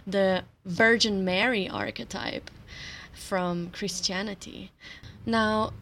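Unhurried woman, Slovenian native speaker. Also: mid-range voice, creaky, slight background noise. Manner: unhurried, soft laughs between thoughts. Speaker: astrology teacher. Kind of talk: reflective spiritual talk.

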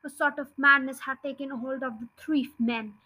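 A woman reading a children's story aloud as host, in an expressive, emphatic way. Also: high-pitched, normal speed. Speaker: storybook reader, podcast host. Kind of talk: expressive children's audiobook narration.

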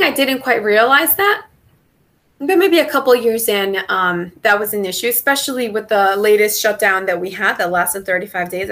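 A woman's voice: on the high side, pushed and bright. A woman who grew up in southern Mexico with Spanish as her first language, answering interview questions in a thoughtful way, with many fillers and restarts. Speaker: guest, NASA engineer.

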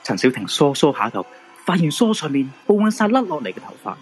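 A man's voice: a little high, very animated, fast talker, slightly rough, faint music behind. A man with a Hong Kong Cantonese accent narrating a scripted supernatural radio drama.

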